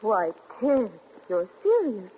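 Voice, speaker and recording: An actress playing a poised, affectionate suburban wife in 1940s radio style. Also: female voice, medium pitch, very animated, slow pace. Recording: tinny, very noisy 1940s radio recording.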